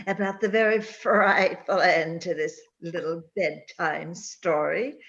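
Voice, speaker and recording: Old witch storyteller, cackling, sinister relish, swooping pitch, unhurried, old-time radio play. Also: lively intonation, fairly bright tone, lo-fi sound, heavily acted. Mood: gleefully sinister. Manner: cackling, folksy, relishing the frightful. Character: gleeful old witch storyteller with a cat companion. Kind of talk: 1930s radio horror hosting.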